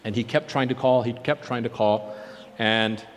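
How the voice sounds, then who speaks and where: A man's voice: medium pitch, fairly even intonation, normal speed, slight background noise. A man preaching through personal testimony, telling his story earnestly and acting out remembered conversations. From born in South Korea. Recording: long hall reverb.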